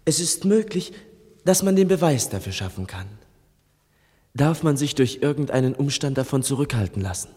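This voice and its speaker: An actor playing an earnest, insistent youth demanding justice in a German radio drama, with a husky voice and urgent questioning manner. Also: male voice, medium pitch, mellow. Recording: long reverberant hall.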